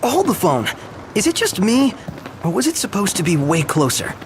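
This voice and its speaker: A man's voice: high voice, moderate pace, background noise. An actor playing a jokey, boyish young man who complains and tires easily in a video game. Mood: jokey, complaining. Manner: light, expressive, complaining.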